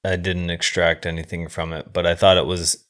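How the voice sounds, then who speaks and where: A man with a low voice chats about movies as a podcast co-host. His delivery is thoughtful and halting as he feels his way through his reflections. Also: level tone, a bit bright. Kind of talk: casual film discussion.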